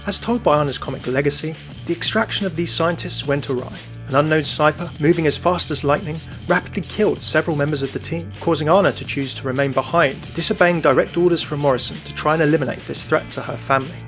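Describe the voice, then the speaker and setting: A man's voice: a little high, fast talker, few pauses, fairly bright tone, faint music behind. A man reading scripted narration about video game lore as the narrator of a video.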